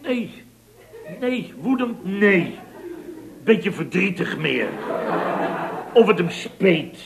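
A man's voice: high voice, sing-song, extremely slow, creaky, background noise, heavily acted. An actor playing a flustered, indignant, chatty man in a radio comedy, rambling and repeating himself as he tells his story. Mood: bewildered. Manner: rambling, repetitive storytelling with stammers and rhetorical tags. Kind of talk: radio comedy acting in a dialogue sketch. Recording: radio recording with audience behind.

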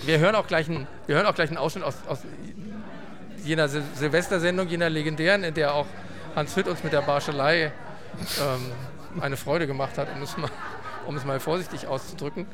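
A man's voice: on the high side, brisk, a crowd in the background. A man hosting a radio show, talking casually and hesitantly with guests, often restarting sentences. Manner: hesitant, searching for words, many restarts. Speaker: radio host.

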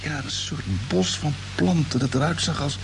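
A drowsy, bewildered space commander, noisy recording. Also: male voice, on the high side, vocal fry. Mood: dreamy recollection. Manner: hesitant, halting, repeating words. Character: drowsy, bewildered male space expedition commander recalling a dream. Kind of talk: radio play acting. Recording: noisy, humming old radio drama recording.

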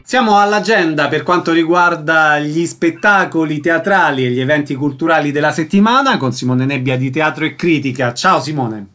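A man's voice: fairly high pitch, normal speed, hardly any pauses, bright, forceful tone, faint music behind. A man presenting on the radio as host.

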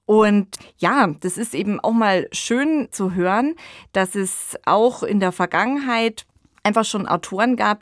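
A woman's voice: mid-range voice, dry recording, clean studio sound. A woman, co-hosting a podcast and discussing things in a conversational, analytical style. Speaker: podcast co-host.

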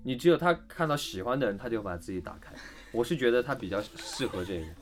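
Male voice actor with very lively intonation. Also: mid-range voice, quick pace, loud music behind the voice. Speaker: voice actor guest. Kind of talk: casual group chat interview.

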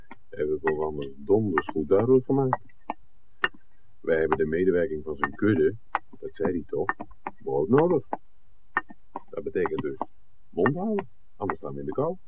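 A shrewd film scout in a vintage radio drama. His deep voice moves expressively, with dry teasing and deliberate phrasing. The old recording is noisy and muffled. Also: very animated. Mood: wry, emphatic. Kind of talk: 1960s radio drama acting.

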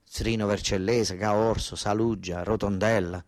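A man's voice: fairly low pitch, steady tone, measured pace. A man with a southern Italian accent delivering a theatrical narrative monologue, insistent and repeating words for emphasis. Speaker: stage actor and storyteller.

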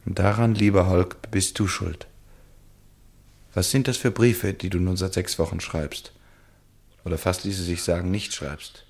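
A brooding nobleman with a deep voice and diction. He is reserved and weighs his words with a troubled conscience, in the literary manner of a radio play. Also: fairly even intonation, measured pace, creaky, long pauses, a little mellow. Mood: absorbed, wry. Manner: reads a letter aloud with muttered asides. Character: aristocratic married gentleman, brooding, reserved, conscience-pricked by a letter. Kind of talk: German literary radio drama acting.

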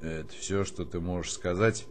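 An actor playing a weary old army colonel in a radio drama, grumbling, sighing and brusque. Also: male voice, low voice, normal speed, slight background noise, lightly acted. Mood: stern. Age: older.

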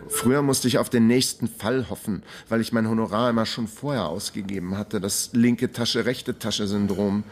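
Actor as low-voiced, wry ex-detective. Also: male voice, steady tone, normal speed, few pauses, natural voice. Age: 40s. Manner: laconic, dry, self-mocking. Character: wry, broke ex-detective in his forties. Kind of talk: first-person crime radio drama narration.

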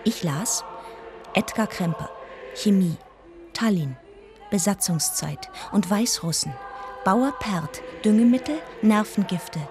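A woman's voice: medium pitch, expressive, slow, over music. A woman playing an observant deaconess who narrates a crime story in a German radio drama. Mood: earnest, recounting.

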